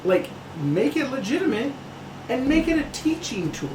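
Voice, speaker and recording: A man co-hosting a casual sports podcast, talking calmly and reasonably. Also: very high-pitched, normal speed, reverberant, noisy background. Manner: calm, reasonable, reads news aloud. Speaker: podcast co-host.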